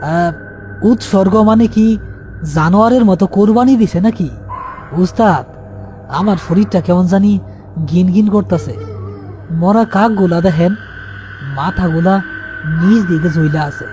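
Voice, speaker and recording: A man's voice: high voice, a little slow, pauses often, warm tone, soft background music. A man voicing a frightened, superstitious young village helper in an anxious, pleading way with a rural Bangladeshi accent, in a horror story. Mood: uneasy, disgusted. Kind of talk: audio horror story acting.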